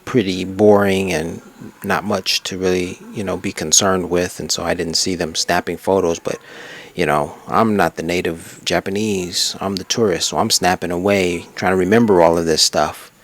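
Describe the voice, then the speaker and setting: A man's voice: low voice, fairly even intonation, moderate pace. A man narrating his own travel photos in a casual, unscripted way, rambling and reflective as he reminisces. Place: untreated room at a desk.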